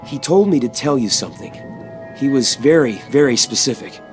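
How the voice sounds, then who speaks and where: A man's voice: mid-range voice, expressive, normal speed, soft background music, close to an everyday voice. A man playing a frightened, guilt-ridden civilian in a video game, anxious and earnest. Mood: shaken.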